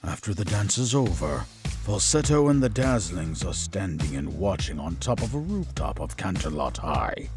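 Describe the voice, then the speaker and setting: Low-pitched, animated story narrator. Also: moderate pace. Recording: music under the voice.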